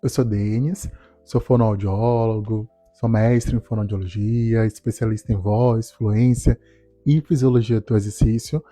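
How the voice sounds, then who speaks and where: A man, telling his life story to camera in a calm, reflective way. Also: fairly low pitch, no echo, soft background music. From Salvador, Brazil. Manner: calm, reflective, measured delivery. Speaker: speech therapist and doctoral student. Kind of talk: personal testimony to camera.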